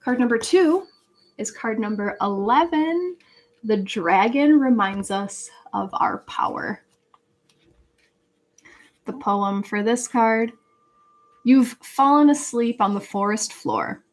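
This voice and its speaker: Higher-voiced woman hosting a live tarot reading. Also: takes it slowly, pauses often. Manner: conversational, reads chat messages aloud, earnest spiritual encouragement. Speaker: live tarot reader and host. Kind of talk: casual livestream chat with card reading.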